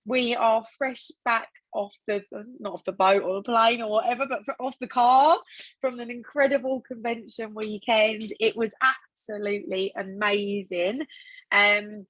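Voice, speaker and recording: Woman host, croaky, upbeat, chatty, dry close sound. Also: fairly high pitch, fairly even intonation, measured pace, a bit bright, dry recording, rough recording. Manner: enthusiastic, chatty, many fillers. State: croaky voice after a weekend away. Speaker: team leader hosting a sales training call. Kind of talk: casual upbeat team call.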